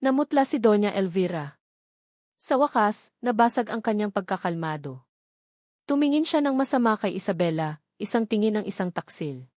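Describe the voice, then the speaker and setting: A woman's voice: medium pitch, animated, normal speed, darkish tone, no room sound. Woman narrating a dramatic story, dry sound.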